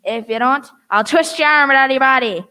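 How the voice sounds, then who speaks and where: A woman's voice: high voice, brisk, sharp tone, clear, resonant tone. A woman playing a menacing, wheedling and commanding blind old male beggar pirate in a theatrical radio play style.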